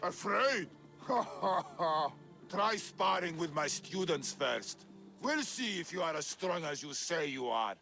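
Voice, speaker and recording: A man's voice: a little high, animated, slow, creaky, bright, forceful tone, soft background music, exaggerated voice. A man voicing a boastful, haughty, hot-tempered Olympic trainer in a video game, theatrical and scoffing. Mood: indignant. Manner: pompous, scoffing, declamatory.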